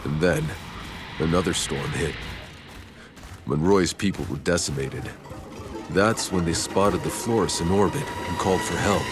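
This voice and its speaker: A man's voice: sits low, a little slow, pauses often, music in the background. An actor playing a weary male veteran survivor in a video game, with a grim, heavy way of telling things. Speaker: game character. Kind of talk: video game cutscene acting. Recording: game mix with loud ambience.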